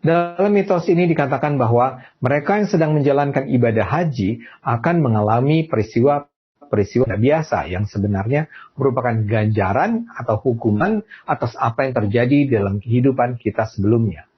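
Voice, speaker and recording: A man delivering scripted commentary in a measured, explanatory way, from a lo-fi clip. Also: mid-range voice, husky. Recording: dull lo-fi clip.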